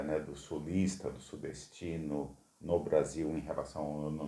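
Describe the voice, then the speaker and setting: Very deep, slow-talking man in an echoey room. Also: dark tone. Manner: slow, hesitant, drawn-out questions. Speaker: interviewer. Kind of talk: interview questions.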